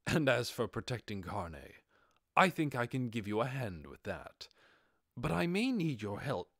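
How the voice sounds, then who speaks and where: A composed overlord disguised as an adventurer, performed by a man for a fantasy audiobook. He speaks formally and magnanimously at a middle pitch, with measured authority and a dry, close sound. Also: sing-song, fairly bright tone, no echo, exaggerated voice. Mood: reassuring. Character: composed, calculating undead overlord posing as armored adventurer.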